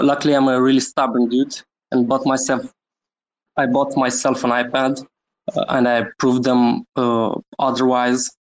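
A man from Romania, a guest on a live audio chat, talking about his project with many pauses, fillers and small laughs. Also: mid-range voice, rough recording. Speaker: guest NFT creator. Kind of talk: casual chat on a Twitter space.

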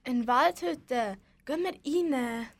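A woman's voice: sits high, slow, heavily acted. A young female performer playing an alarmed girl searching for her lost friend in an amateur radio play, with a Swiss German accent. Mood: surprised. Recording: noisy, clicky, distant school recording.

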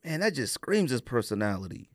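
Animated man in slangy podcast banter. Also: medium pitch, fairly quick, dry recording, studio quality. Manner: slangy, opinionated. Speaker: podcast co-host. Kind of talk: casual banter about sneakers.

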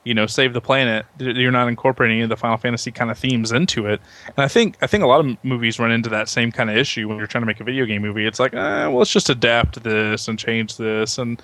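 A man's voice: medium pitch, fairly even intonation, quick pace, fairly bright tone, no room sound. A man hosting a podcast, talking through his points in a casual group discussion and often rephrasing as he thinks aloud. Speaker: podcast host moderating a discussion.

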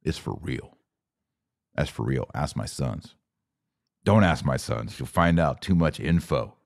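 Deep-voiced man in his forties, blunt. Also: lively intonation, unhurried, gravelly, no echo, clean studio sound. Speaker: podcast host. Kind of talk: casual livestream talk, reading and answering viewer comments.

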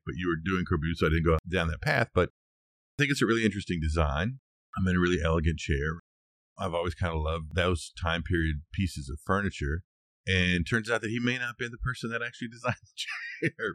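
Deep-voiced man chatting about design history. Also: fast talker, fairly bright tone, no echo. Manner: conversational, hedging, thinking aloud. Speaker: podcast co-host. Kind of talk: casual design discussion, telling researched history.

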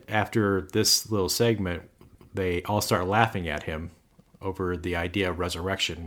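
A man with a very low voice talks through scripture in an easy podcast chat. He explains at length and tosses in dry jokes as he goes. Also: a bit bright. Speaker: podcast co-host. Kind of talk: casual chat on faith and scripture.

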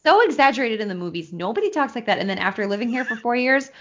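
A young Minnesotan woman chats her way through a podcast recap, her pitch bouncing up and down as she giggles and trails off. The sound is dull and lo-fi. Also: animated, fast, noisy background. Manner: chatty, giggly, trailing off with fillers. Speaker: podcast co-host. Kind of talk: casual chat recapping a TV episode.

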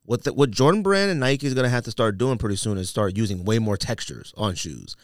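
A man's voice: lowish voice, lively intonation, a little fast, dry recording, clean studio sound. An American man co-hosting a casual podcast, talking in slangy, opinionated banter and repeating himself for emphasis. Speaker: podcast co-host. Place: podcast studio.

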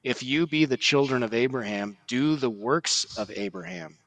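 A man on a religious podcast, earnestly explaining and quoting scripture in a measured way. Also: mid-range voice, expressive, gravelly, a bit bright, rough recording. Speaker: podcast guest, article writer.

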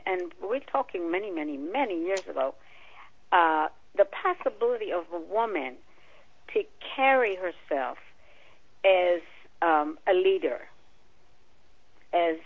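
Slow Israeli-born woman on a phone line. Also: on the low side. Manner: thoughtful, halting, hesitant. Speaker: guest. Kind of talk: interview answers.

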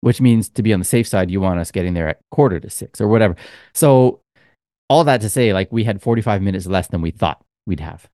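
Podcast co-host, male, lowish voice, dry close sound, loose rambling storyteller. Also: a little fast, mellow, dry recording. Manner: rambling storyteller, many fillers. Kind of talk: casual chat.

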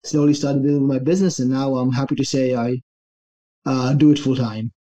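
A young adult man from Israel, a fitness coach telling his story in a relaxed, easygoing interview. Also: medium pitch, fairly quick. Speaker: guest, online fitness coach.

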